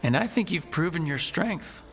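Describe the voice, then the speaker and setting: A man's voice: fairly high pitch, animated, moderate pace. A man playing an earnest, loyal adventurer hero in a fantasy game. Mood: gentle. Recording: loud game music behind. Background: loud game music.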